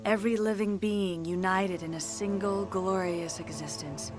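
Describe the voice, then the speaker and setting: Woman acting as serene alien mystic, centuries old, solemn, formal, measured, mid-pitched, music behind. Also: fairly even intonation, lightly acted. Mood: hypnotic, soothing. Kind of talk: video game dialogue acting.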